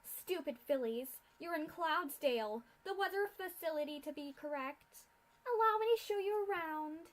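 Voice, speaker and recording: A woman's voice: very high voice, expressive, normal speed, voice pushed up, poor audio. A woman voicing a sadistic, mocking female pony scientist in a fanfic reading, with a theatrical, mock-polite sneer.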